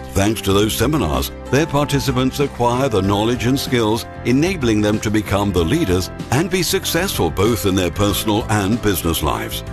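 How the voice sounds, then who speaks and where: A man reading a formal, promotional company presentation script. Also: fairly low pitch. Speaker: corporate presentation narrator. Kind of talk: scripted corporate narration. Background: background music.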